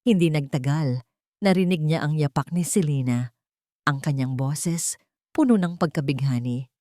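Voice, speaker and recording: A woman's voice: deep voice, moderate pace, mellow, dry recording, studio quality. A woman with a Filipino accent reading a scripted drama as an audiobook narrator, in a dramatic storytelling style.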